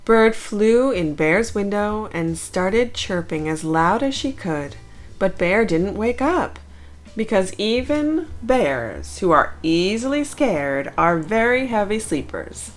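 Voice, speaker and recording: Female children's storyteller: unhurried, animated, deliberate, with dramatic pauses. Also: mid-range voice, soft background music. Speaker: children's book narrator. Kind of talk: read-aloud storytelling for children.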